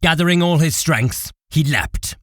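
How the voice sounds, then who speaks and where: A man's voice: a little high, measured pace, a bit bright, dry recording, clean studio sound. A man giving a dramatic, scripted narration of a fantasy war story as its narrator.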